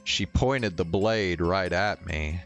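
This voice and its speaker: A man's voice: low-pitched, takes it slowly, fairly bright tone. A man doing casual game commentary and reading visual novel text aloud. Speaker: game streamer. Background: game music.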